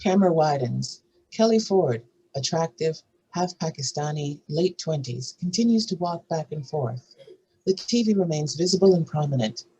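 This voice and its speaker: A man's voice: fairly high pitch, lively intonation, moderate pace, dark tone, slightly rough, muffled recording. A man narrating screenplay stage directions at a table read.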